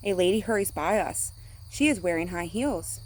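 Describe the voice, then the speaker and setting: Lower-voiced woman reading a picture book. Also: expressive, normal speed, a little mellow, a little hiss or hum. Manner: acts out sound words; simple, addressing young listeners. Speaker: teacher reading aloud to children. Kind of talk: picture-book read-aloud.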